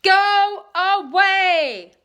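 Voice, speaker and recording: A woman voicing a very loud, brash boy who bellows folksy cowboy talk in drawn-out shouts, in a storybook reading. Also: very high-pitched, lo-fi sound, voice pushed up, heavily acted. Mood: defiant, bellowing.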